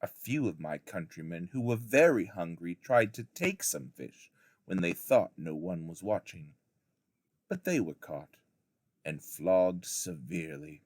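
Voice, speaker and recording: Man, lowish voice, expressive audiobook narration, very varied intonation, deliberate dramatic phrasing, teacher reading aloud. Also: no room sound, studio quality.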